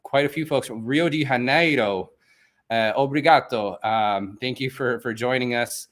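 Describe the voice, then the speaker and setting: A man's voice: medium pitch, moderate pace, a bit bright. A man from Venezuela, a native Spanish speaker, hosting a live online event in a chatty, upbeat way with many fillers. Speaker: host.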